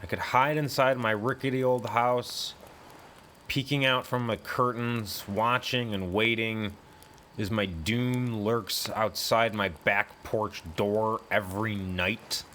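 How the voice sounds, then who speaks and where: A man with a fairly low voice reads a horror tale in the first person. His delivery is deliberate and brooding, building suspense like a dramatic audiobook. Faint noise and ambience sit behind the voice. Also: a bit bright. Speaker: horror story narrator and writer.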